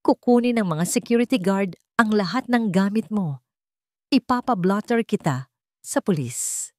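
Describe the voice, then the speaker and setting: A woman's voice: medium pitch, lively intonation, unhurried, no room sound, close to an everyday voice. Woman voicing an intense, emphatic billionaire man. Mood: stern, angry. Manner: emphatic, accusing, insistent. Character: stern, commanding billionaire man, remorseful and pleading later.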